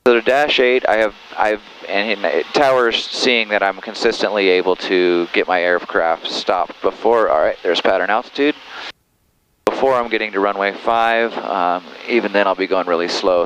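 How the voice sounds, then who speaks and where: A man's voice: deep voice, steady tone, moderate pace, a bit bright. A man who is a student pilot, talking himself through each step of flying into an aviation headset. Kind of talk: self-narrated cockpit commentary. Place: small aircraft cockpit in flight. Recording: noisy aircraft cabin, engine drone. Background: aircraft engine noise.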